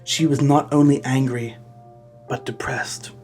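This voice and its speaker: A man's voice: mid-range voice, fairly even intonation, unhurried, darkish tone, faint music behind. A man hosting a comedy video, reading fan fiction in a theatrical way and breaking off with mock-outraged comments. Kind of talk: dramatic comic reading of fan fiction with exasperated asides.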